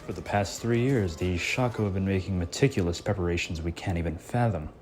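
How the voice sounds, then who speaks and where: A man voicing a laid-back, cautious adult ally in an anime fan dub, talking in a measured, reasoning way with a slightly wry edge. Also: low-pitched, darkish tone, faint music behind, natural voice.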